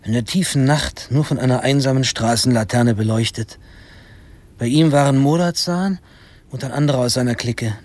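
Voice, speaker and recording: A man's voice: mid-range voice, normal speed, a little mellow, natural voice. An actor playing a teenage boy who narrates his misadventures in a radio drama, in a wry and dramatic storytelling manner. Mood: wry suspense.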